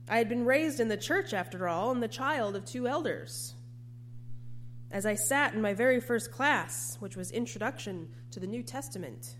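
A woman preacher delivering a sermon in a measured, reflective, plain-spoken way. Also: a little high, slight background noise.

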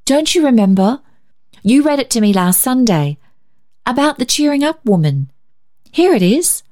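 A woman reading a story, voicing an eager, excitable girl who speaks in urgent exclamations. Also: fairly high pitch, normal speed, pitched above the performer's own voice.

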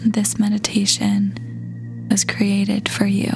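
A woman guides a meditation in a gentle, breathy half-whisper. She speaks very slowly, leaving long pauses, and her pitch barely moves at all. Clear music plays behind her calm voice. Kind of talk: guided meditation, slow soothing narration. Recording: voice over prominent background music.

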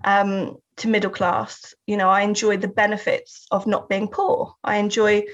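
A woman's voice: medium pitch, flat delivery, moderate pace. A woman with a Southern English accent, talking thoughtfully as an interview guest, often laughing and restarting her sentences. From England. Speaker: guest artist and writer. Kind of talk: reflective interview talk. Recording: slightly dull lo-fi sound.

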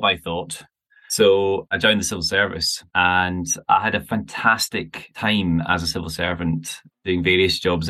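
Glaswegian guy, deep low voice but bright and forceful, chatty interview guest. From Scotland. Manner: chatty, self-deprecating, thinks aloud. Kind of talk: relaxed interview answers.

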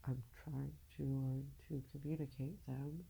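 A woman podcaster speaking off the cuff in a halting, confessional way, candid and casually profane, with a low husky voice and a downcast, weary air. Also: flat delivery, dark tone, a little hiss or hum, rough recording. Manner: halting, searching, with long pauses. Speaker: podcast host. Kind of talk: unscripted personal monologue, confessional.

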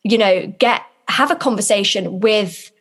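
A woman's voice: medium pitch, measured pace. A young British woman co-hosting a podcast, chatting casually and telling stories in a loose, rambling conversational way. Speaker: podcast co-host.

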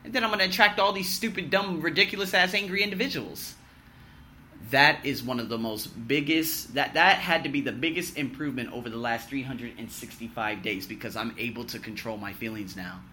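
A man in his thirties giving a blunt, emphatic year-in-review vlog monologue, swinging between loud boasts and low muttered asides, with the odd swear word. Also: a little fast, slight background noise. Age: 30s. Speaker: vlogger.

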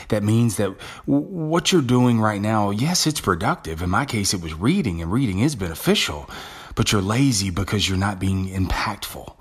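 Male podcast host, deep husky voice, conversational and self-talking, stumbles and restarts. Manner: casual, conversational, with frequent restarts. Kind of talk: motivational monologue.

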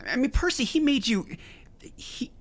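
An actress playing a protective, guarded woman doctor in a fiction podcast, with a halting delivery. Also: sits high, big pitch swings. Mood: upset, angry. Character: protective, guarded telepathic woman doctor, quietly hurt and angry. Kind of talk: scripted fiction podcast acting.

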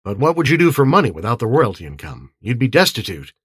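A male narrator plays an angry man making accusations in an audiobook. The voice is husky and dry, insistent, with animated emphasis. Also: medium pitch, fast talker, no room sound. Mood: accusing.

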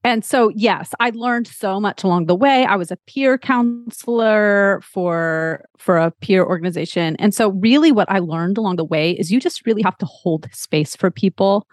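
A woman's voice: medium pitch, normal speed, hardly any pauses, dry recording, studio quality. A woman in her forties from Texas, a lactation counselor who talks openly and earnestly about her own path as a podcast guest. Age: 40s. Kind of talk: podcast interview, personal storytelling.

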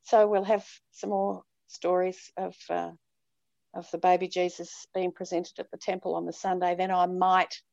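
A woman's voice: on the low side, little pitch movement, normal speed, creaky, darkish tone, dry recording, lo-fi sound. A middle-aged Australian woman, a priest, talking in a chatty, emphatic way with frequent ums. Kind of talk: casual chat.

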